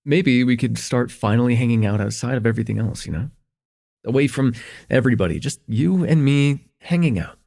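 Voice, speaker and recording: Guy playing a shy lovestruck college boy, husky n a bit gravelly, voice moves around a lot, asmr roleplay. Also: medium pitch, expressive, fairly quick. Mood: wistful, earnest. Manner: hesitant, earnest, stammering, chuckling softly between phrases. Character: shy, smitten young student confessing to a close friend. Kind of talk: intimate ASMR roleplay acting.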